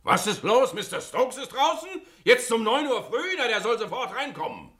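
An actor playing an excitable, impatient businessman in a German radio play, blustery and emphatic. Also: very high-pitched, moderate pace, exaggerated voice. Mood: indignant.

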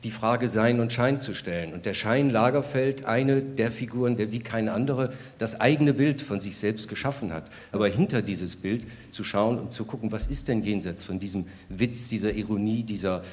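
A man with a slightly low voice answers in an interview as an editor. He explains reflectively, adding phrase upon phrase as he goes. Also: moderate pace, hardly any pauses, darkish tone. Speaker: radio drama editor, interviewee.

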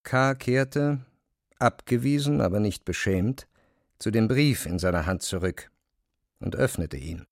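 A man narrating a literary text as a scripted reading, measured and precise. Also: on the low side, lively intonation, gravelly, no room sound, clean studio sound.

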